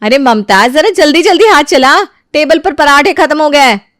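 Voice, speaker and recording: A woman's voice: expressive, moderate pace, fairly bright tone, no echo, clean studio sound. A woman voicing a haughty, short-tempered young housewife who nags and scolds her maid in a dramatised moral story. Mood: ordering. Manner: shrill, haughty, nagging.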